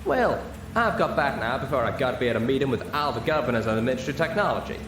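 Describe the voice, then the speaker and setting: A man's voice: mid-range voice, animated, fast, far from the mic, pitched above the performer's own voice, close to an everyday voice. A man voicing an earnest, plain-spoken female country pony. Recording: roomy with clear background noise.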